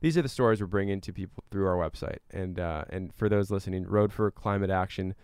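Male interview guest, lowish smooth voice, earnest explainer, conversational, dry recording. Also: brisk, darkish tone, no echo. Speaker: interview guest, climate activist and scientist.

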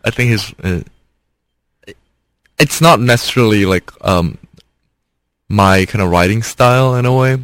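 Low-voiced man with Singaporean-Indonesian accent. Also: expressive, a little slow, pauses often, a bit bright, smooth, dry recording. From Southeast Asia. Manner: hesitant, many fillers and restarts. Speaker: guest, actor and filmmaker. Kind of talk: casual interview conversation.

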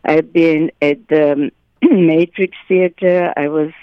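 A woman, an actress, chatting as an interview guest in an animated way, often restarting her phrases. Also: low-pitched, slow pace, smooth, dry recording, highs cut off. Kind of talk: interview chat.

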